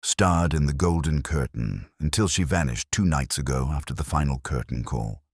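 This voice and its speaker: A man voicing a gruff, hostile police lieutenant in an audiobook, curt and accusing. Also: rumbling low, moderate pace, dry recording, clean studio sound, exaggerated voice. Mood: insistent.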